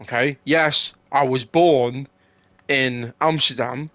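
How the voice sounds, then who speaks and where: A man's voice: mid-range voice, steady tone, slow pace, fairly bright tone, no echo. A man from England in casual, argumentative chat, swearing and often repeating words as he makes his point.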